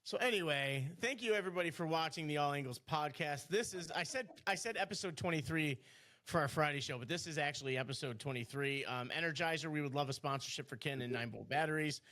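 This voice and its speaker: A man's voice: on the high side, quick pace, bright, forceful tone. Teasing, rambling podcast co-host man. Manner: teasing, rambling with many restarts. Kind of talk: casual podcast chat.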